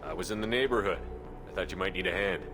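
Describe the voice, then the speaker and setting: A man playing a calm, steady military commander in a video game, speaking in a brisk, matter-of-fact way. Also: low-pitched, very noisy, natural voice. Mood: reassuring. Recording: game audio under loud music. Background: loud music.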